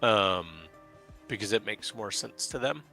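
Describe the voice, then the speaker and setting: Low, bright-toned man from Dallas. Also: a little slow, faint music behind. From Dallas, Texas. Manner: hesitant, many fillers and restarts. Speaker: guest, business owner. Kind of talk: casual interview chat.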